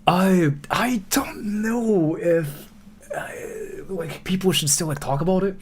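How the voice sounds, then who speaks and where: A man in his thirties chatting casually as a livestream host, rambling and often correcting himself mid-thought. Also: high voice. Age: 30s. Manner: rambling, halting, self-correcting, peppered with filler. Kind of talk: casual livestream chat and reaction commentary.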